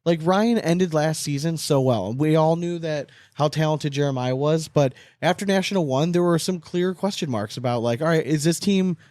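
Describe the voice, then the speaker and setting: A man's voice: fairly high pitch, normal speed, hardly any pauses, no echo, studio quality. A man co-hosting a podcast, chatting casually about sports with strong opinions and frequent swearing. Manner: animated, opinionated, swears often. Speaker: podcast co-host.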